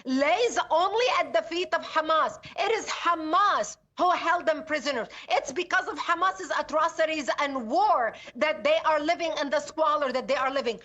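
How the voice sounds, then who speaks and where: A woman from Lebanon speaks as a news interview guest, indignant and forceful, driving her points home by repetition. Her voice is high and bright and carries a sharp edge. The sound comes over a dull, lo-fi remote link. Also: normal speed, few pauses. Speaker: interview guest, security analyst.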